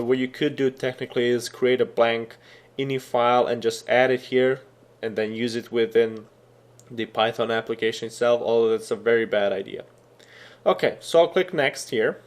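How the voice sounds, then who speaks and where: Casual man walking through software setup. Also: mid-range voice. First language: Serbian. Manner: casual, explanatory, thinking aloud with fillers. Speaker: software tutorial instructor. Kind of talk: screen-recorded coding tutorial.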